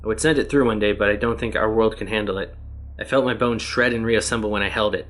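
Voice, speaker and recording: A man's voice: lowish voice, quick pace, lightly acted. A man reading as a weary lost test subject. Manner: plain, even reading of written tablets. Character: weary male test subject lost ages in another world.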